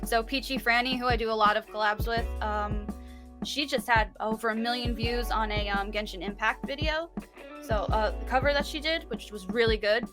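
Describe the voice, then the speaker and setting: A woman's voice: fairly high pitch, fairly even intonation, moderate pace, music in the background. A woman being interviewed as a singer, talking in an informal, giggly way. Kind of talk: casual interview chat.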